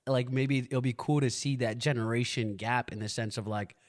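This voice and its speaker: A man's voice: medium pitch, steady tone, normal speed. A young man from the United States hosting a casual family podcast, teasing and switching between English and Hindi. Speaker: podcast host. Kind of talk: casual chat.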